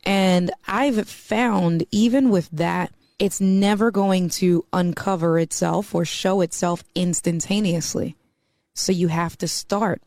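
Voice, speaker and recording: A woman's voice: lowish voice, steady tone, slow, darkish tone, dry recording. Chatty woman with a dry, close sound. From East Coast of the US. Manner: chatty, many fillers like "you know". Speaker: podcast guest, mortgage banker. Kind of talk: casual podcast conversation.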